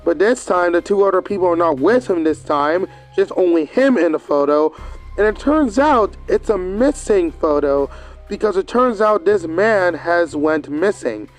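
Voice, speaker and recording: A man's voice: sits high, expressive, moderate pace, faint music behind. A man giving a casual, rambling video review, recapping with hesitant fillers and restarts.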